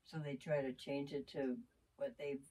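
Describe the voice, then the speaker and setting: A middle-aged woman with a deep voice, talking frankly and bluntly with her partner in a casual chat, swearing freely. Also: little pitch movement, normal speed, warm tone, slightly rough. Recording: distant mic.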